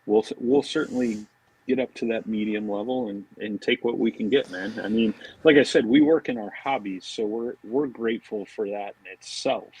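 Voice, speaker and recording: A man's voice: lowish voice, moderate pace, darkish tone, breathy edge, rough recording. An American man talking casually as an interview guest, rambling informally and often dropping in "you know" and "man". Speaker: podcast guest, fishing products marketing director.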